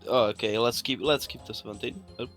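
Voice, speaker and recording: A man's voice: mid-range voice, steady tone, brisk, a bit bright, soft background music. A man playing a tabletop game, chatting casually in short, terse remarks.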